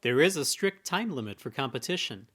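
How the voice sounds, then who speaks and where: A man's voice: mid-range voice, expressive, a little fast, fairly bright tone. Male reporter reading narration. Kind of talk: scripted news narration.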